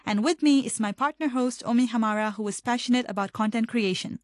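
A woman's voice: a little high, fast talker, dry recording, studio quality. A woman from Hong Kong hosting a radio interview, reading upbeat scripted introductions and asking friendly questions. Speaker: radio host.

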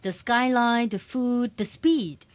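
A woman from Malaysia co-hosting a community radio program, presenting a script in a bright, upbeat way. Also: on the high side, takes it slowly, no echo. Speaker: radio co-host. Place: radio studio.

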